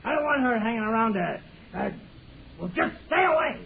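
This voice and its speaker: A drunken, bullying father snarls his threats in a gravelly voice pitched unusually high. The sound is thin and lo-fi, from an old radio broadcast. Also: animated, moderate pace, a little hiss or hum, exaggerated voice. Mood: threatening. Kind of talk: 1940s radio acting.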